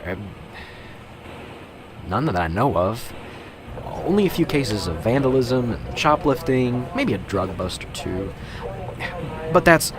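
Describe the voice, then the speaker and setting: A man playing an earnest, dutiful young police officer in an amateur radio drama, measured and by-the-book. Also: medium pitch, sing-song, crowd noise. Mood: cooperative. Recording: clearly noisy, vehicle-like background ambience.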